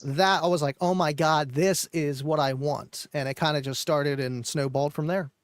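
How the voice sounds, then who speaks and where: A man co-hosting a live stream, chatting casually about car audio in a relaxed, rambling way with many fillers. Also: fairly high pitch, normal speed, no room sound.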